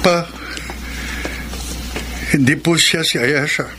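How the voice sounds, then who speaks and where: A man's voice: on the high side, slow pace. Casting: a wounded, bitter young man, emotional, over music. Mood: hurt. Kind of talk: broadcast radio drama acting.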